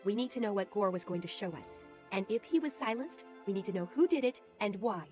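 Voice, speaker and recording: An actress playing an authoritative female strategist who gives brisk orders in a video game. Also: medium pitch, lively intonation, a little mellow, soft background music, natural voice. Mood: commanding. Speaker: game character. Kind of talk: scripted game voice acting.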